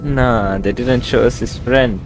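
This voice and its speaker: A man streaming a game, making relaxed, chatty asides to his viewers. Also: mid-range voice, unhurried. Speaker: gaming streamer. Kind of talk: casual live gameplay commentary. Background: game music.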